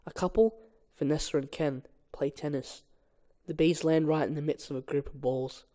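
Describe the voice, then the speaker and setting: A man's voice: on the high side, steady tone, normal speed, warm tone. Young man reading a script aloud. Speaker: amateur script reader. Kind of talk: reading a film script aloud, casual chat.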